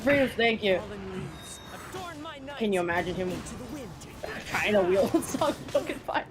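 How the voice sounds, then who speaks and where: A woman's voice: mid-range voice, animated, unhurried. Woman chatting casually over faint game music. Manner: sweary, teasing. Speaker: co-commentator on a game stream. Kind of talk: casual chat.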